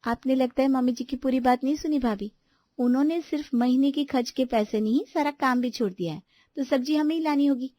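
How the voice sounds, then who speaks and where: A woman's voice: sits high, fairly quick, dry recording, highs cut off, lightly acted. A woman voicing a dutiful but defensive young sister-in-law in a household story, explaining herself indignantly.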